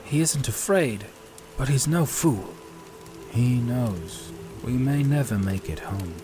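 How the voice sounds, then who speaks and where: A man acts out a weary male captive in a fantasy audiobook. He is proud and grimly determined, speaking in formal, old-fashioned phrases at a middle pitch, with music behind him. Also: animated, slow. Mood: grim musing. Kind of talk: acted audiobook dialogue.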